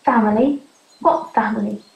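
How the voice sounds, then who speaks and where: Defiant teen runaway girl, young actress, stage drama, earnest, slightly high voice, roomy sound. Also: moderate pace, creaky. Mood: bitter, hurt. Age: young adult. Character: defiant, hurt teenage runaway girl living wild. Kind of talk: youth theatre stage drama acting. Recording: muffled, roomy amateur recording.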